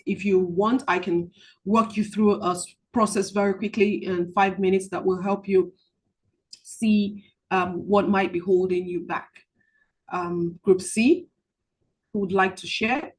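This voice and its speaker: Woman money coach, video-call sound, hesitant and filler-heavy, gentle when guiding a breathing exercise. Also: medium pitch. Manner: halting, many filler ums and restarts; soft, slow guided-relaxation instructions. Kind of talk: online group coaching session with guided visualization.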